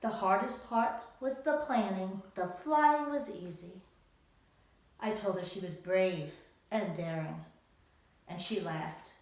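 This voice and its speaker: A woman's voice: mid-range voice, slow, a little mellow. A woman author giving an emphatic storytelling talk to young readers, posing rhetorical questions. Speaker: author presenting own book to young readers. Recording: very echoey room, distant from microphone.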